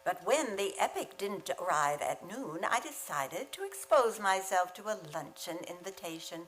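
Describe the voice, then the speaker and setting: A woman playing a wry, quick-witted high school English teacher in an old-time radio comedy. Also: sing-song, fairly bright tone, poor audio. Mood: wry narration, brisk.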